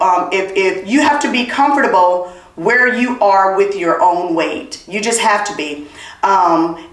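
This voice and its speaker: An eager, loud middle-aged woman hosts a casual podcast chat, her voice at an ordinary middle pitch. She often repeats herself and talks away from the mic, so the room's echo is clearly heard. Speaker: podcast host. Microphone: not wearing her clip-on mic. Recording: roomy echo.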